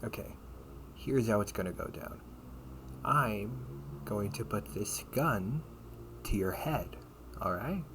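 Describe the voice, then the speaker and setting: Noisy home-recorded audio roleplay: male actor as menacing big-brother captor, slightly low voice, mocking, casual, protective. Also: expressive. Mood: threatening. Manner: casual, halting, mocking, breathy asides. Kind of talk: amateur audio roleplay acting, one-sided dialogue.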